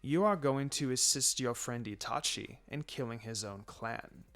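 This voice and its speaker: A man voicing a sinister old village elder in a fan fiction story, measured and coldly threatening. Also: mid-range voice, fairly bright tone. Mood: menacing. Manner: measured, menacing.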